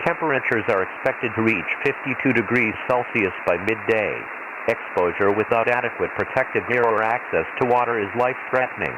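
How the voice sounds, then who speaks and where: Hissy radio man acting as an impassive low-voiced announcer. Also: lo-fi sound. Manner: formal, measured, impersonal. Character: impassive male weather radio announcer on a desert planet. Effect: radio transmission filter. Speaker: weather broadcast announcer. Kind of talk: scripted emergency weather radio bulletin. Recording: hissy, noisy shortwave-style radio broadcast.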